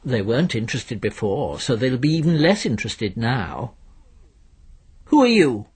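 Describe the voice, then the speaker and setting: A man's voice: medium pitch, very animated, moderate pace, a little mellow, breathy edge. A man voicing a pompous middle-aged solicitor, formal, pedantic and easily indignant, in a radio story.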